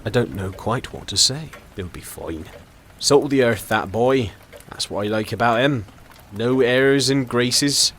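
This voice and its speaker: Man acting a kindly older country doctor, bright voice, courteous, musing, audio drama, some background noise. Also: medium pitch, a little slow, natural voice. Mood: genial.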